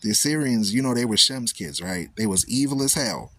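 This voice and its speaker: A man's voice: medium pitch, fairly quick, breathy edge, dry recording. A man hosting a talk radio show, speaking in a loose, preaching style and asking "right?" after most points. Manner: animated storytelling, repeats "right?" often.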